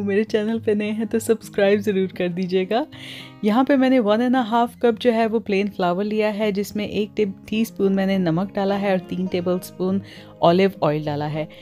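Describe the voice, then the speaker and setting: A woman's voice: medium pitch, dark tone. A woman with a Pakistani accent hosts a cooking tutorial in voice-over, mixing English words and phrases into her talk. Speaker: cooking channel host.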